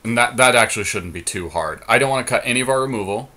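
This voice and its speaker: A man's voice: mid-range voice, animated, fast, pushed and bright. A man co-hosting a podcast, chatting casually while he builds a card deck and thinks aloud with lots of ums and restarts. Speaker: podcast co-host. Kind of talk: casual deckbuilding chat.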